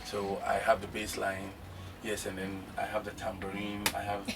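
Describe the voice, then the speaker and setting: A man, a bass player, talking in a loose, playful way in a casual interview. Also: completely flat delivery, normal speed, distant mic, noisy background.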